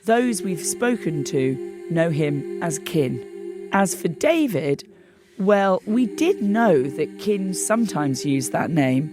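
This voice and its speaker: A woman hosting an investigative podcast, narrating in a measured, deliberate way with a hoarse, croaky voice. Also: on the low side, very animated, over music. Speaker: host and investigative journalist. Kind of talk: scripted documentary narration.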